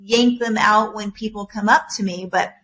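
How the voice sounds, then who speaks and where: A woman whose first language is Korean, a marketing director speaking as a podcast guest in a studio, candid and thoughtful with some halting pauses. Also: mid-range voice, steady tone, fairly bright tone, poor audio. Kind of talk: casual podcast chat.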